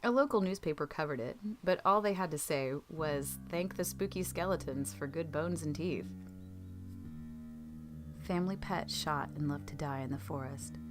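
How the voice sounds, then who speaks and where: A woman's voice: low voice, moderate pace, music in the background. A woman reading scripted true scary stories as a storytelling narrator.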